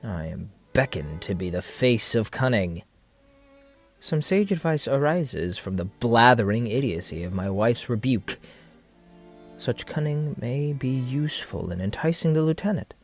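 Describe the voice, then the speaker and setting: An actor playing a vain, scheming, seductive military captain in a florid, theatrical audio drama style. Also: male voice, medium pitch, lively intonation, faint music behind. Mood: bitter, scheming. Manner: theatrical, florid, pausing rhetorically. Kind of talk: stage-play style period audio drama acting.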